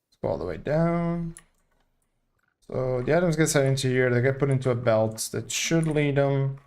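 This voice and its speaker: A man's voice: mid-range voice, animated, moderate pace, a little mellow, smooth. A young man from Portugal, casually thinking aloud as he live-streams a building game. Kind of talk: live gameplay commentary.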